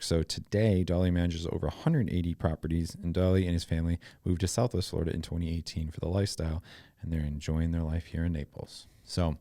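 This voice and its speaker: A man's voice: sits low, warm tone, no room sound, clean studio sound. A man hosting a podcast, upbeat and chatty, reading the guest's introduction and then asking questions. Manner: upbeat, chatty, quick to laugh. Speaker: podcast host. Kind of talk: casual interview conversation.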